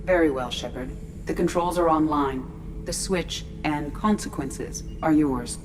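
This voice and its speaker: An actress voicing a calm artificial intelligence aboard a starship in a video game, clinical, precise and formal. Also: female voice, low-pitched, measured pace, music in the background. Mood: formal, unruffled. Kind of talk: video game character acting. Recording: clear background noise, ship-like ambience.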